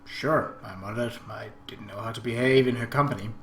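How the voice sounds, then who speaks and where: A man's voice: mid-range voice, fairly even intonation, fast. A man hosting a let's play, reading a visual novel aloud and adding his own commentary. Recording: roomy echo, faint background noise.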